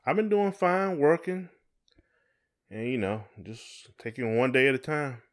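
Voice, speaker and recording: A man's voice: medium pitch, lively intonation, a little slow. A man co-hosting a podcast in casual conversation, talking in a folksy way and often repeating his phrases. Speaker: podcast co-host.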